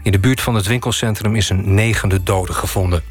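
A man with a husky voice reading a short news update in a radio studio. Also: lowish voice, fairly even intonation, brisk, faint music behind. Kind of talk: news bulletin.

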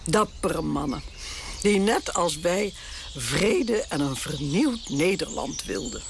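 An actress playing an elderly, stubborn and proud exiled queen in a radio drama, speaking in an imperious, indignant manner with a gravelly voice. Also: sits low, animated, slow pace, noisy background, exaggerated voice. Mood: reminiscing.

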